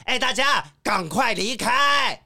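A man's voice: very high voice, expressive, takes it slowly, sharp tone, full character voice. A man playing an urgent, commanding firefighter in a children's audio drama.

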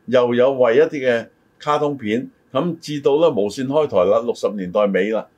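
A man's voice: mid-range voice, moderate pace, a little mellow, dull audio. Older man hosting a talk show. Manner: explanatory, often interjects to correct his partner. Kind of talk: casual reminiscing conversation.

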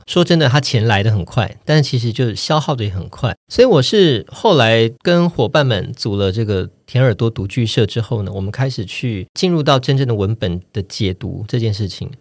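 A man speaks at a quick clip in a close, dry recording. His Taiwanese Mandarin is clearly articulated, and he explains things reflectively, as a guest in a conversational interview. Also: medium pitch, big pitch swings, no echo. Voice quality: clearly enunciated, standard pronunciation. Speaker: voice actor, podcast guest.

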